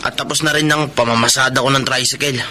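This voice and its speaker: An actor playing a restless young brother in a Filipino radio drama, talking in a colloquial, musing way. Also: male voice, mid-range voice, steady tone, fast, pushed and bright, slight background noise. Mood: bored, boastful. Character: restless young brother, a gambling tricycle driver. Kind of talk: Tagalog radio drama acting.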